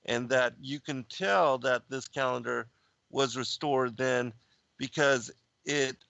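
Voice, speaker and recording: Gravelly, bright-voiced man. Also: mid-range voice, dry recording, dull audio. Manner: halting, many fillers, lists dates. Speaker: guest. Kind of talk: casual discussion of biblical calendar.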